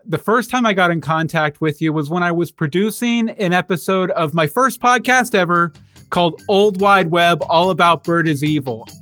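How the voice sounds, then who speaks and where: High-voiced podcast host, dry sound. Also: male voice, moderate pace, sharp tone, no room sound, soft background music. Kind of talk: casual interview.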